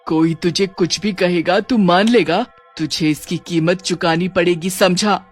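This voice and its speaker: A woman voicing the vengeful, accusing ghost of a wronged woman in an animated horror story. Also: lowish voice, a little slow. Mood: menacing. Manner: accusing, threatening. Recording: music under the voice.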